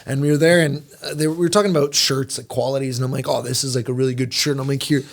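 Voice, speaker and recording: A man's voice: mid-range voice, rapid-fire, gravelly. A man in his thirties, a native Serbian speaker, chatting casually as a podcast guest and acting out the people in his stories. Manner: animated storyteller, acts out quotes. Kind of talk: casual chat.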